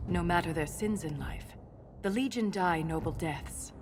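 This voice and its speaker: A formal dwarf woman scholar from a fantasy video game, acted by a woman. Her voice sits fairly low and her delivery is measured and solemn. Music plays clearly behind her. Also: close to an everyday voice. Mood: grave, concerned. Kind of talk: dramatic fantasy game dialogue.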